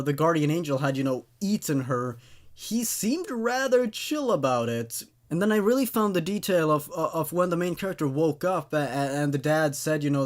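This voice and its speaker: A man hosting a horror story review show, commenting and reading aloud in a hesitant way, often repeating words as he thinks. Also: on the high side. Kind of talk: rambling commentary and reading of amateur horror stories.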